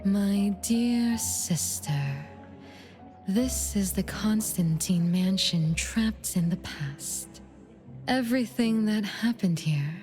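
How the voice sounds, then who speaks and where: Casting: actress as composed, mysterious big sister for a game, unhurried and faintly taunting, mid-pitched, over clear music. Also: female voice, darkish tone, close to an everyday voice. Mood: patient, enigmatic. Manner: measured, knowing, condescending. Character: composed, enigmatic shadow of an older sister, gently taunting. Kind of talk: video game voice acting.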